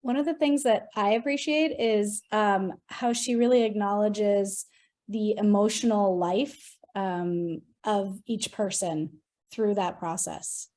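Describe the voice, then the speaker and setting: A woman's voice: mid-range voice, takes it slowly, a little mellow. A woman teacher educator offering an earnest, appreciative comment in a workshop discussion.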